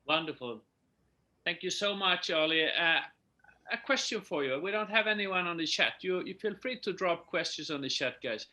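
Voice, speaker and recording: Man, very bright sharp tone, fairly high voice, webinar moderator. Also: animated, moderate pace. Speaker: panel host.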